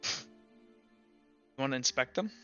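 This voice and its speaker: A man's voice: slow, pushed and bright, no room sound, lo-fi sound, faint music behind. A man who narrates as game master, describing scenes in a loose, rambling way full of "like".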